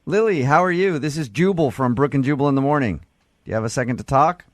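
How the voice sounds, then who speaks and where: A man's voice: mid-range voice, quick pace, no echo, clean studio sound. A man with an American accent hosting a morning radio show, bantering and interviewing callers.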